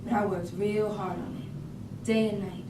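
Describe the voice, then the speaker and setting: A woman's voice: mid-range voice, steady tone, unhurried, distant mic, close to an everyday voice. Woman acting proud young art student, echoey room. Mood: hurt, wistful. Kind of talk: staged reading of dramatic monologue. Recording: echoey room from afar, noisy.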